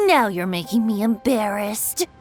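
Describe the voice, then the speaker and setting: Lilting, high-voiced cheerful boyish bard. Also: female voice, fairly bright tone, exaggerated voice. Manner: playful, airy, theatrical. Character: cheerful, teasing, youthful male bard with sly charm. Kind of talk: video game character acting. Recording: background music under the dialogue.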